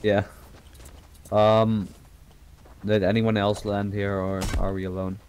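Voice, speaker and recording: A man talks easily with friends as they play, asking about the game. His voice is very deep and stays flat, with a little hiss in the background. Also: takes it slowly. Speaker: gaming friend. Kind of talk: casual gaming chat.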